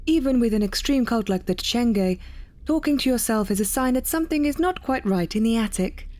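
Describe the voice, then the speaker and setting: Woman as a bossy, high-voiced female AI. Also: animated, fairly quick, a little mellow, lightly acted. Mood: bossy, stern. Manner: commanding, scolding. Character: bossy, stubborn female artificial intelligence sharing a body. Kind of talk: audio drama acting.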